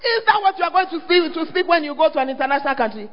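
A man with a Ghanaian English accent preaching a lively sermon as pastor, often calling out to the congregation to check that they are listening. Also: very high voice, very fast. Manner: call-and-response, often asks the crowd "are you there?". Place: church hall. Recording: dull, echoing church hall recording.

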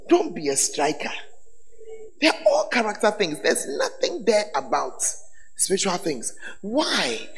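A man preaching as a bishop, his voice hoarse, teasing the congregation with call-and-response questions. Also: high-pitched. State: hoarse, voice tired. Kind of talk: sermon. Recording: echoey hall with faint ambience.

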